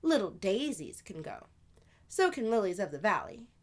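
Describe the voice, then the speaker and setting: A woman voicing a playful, knowing older sister who tells fanciful tales in an animated, confiding way for a children's storybook. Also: mid-range voice, normal speed, voice pushed up. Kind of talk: children's storybook read-aloud.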